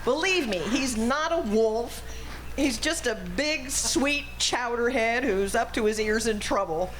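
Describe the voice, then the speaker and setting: Earnest little lady played by a woman, fairly high voice, imploring and sincere in an old-time radio play. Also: unhurried, fairly bright tone, a little hiss or hum, poor audio. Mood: pleading, earnest. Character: earnest, pleading, sweet young female babysitter.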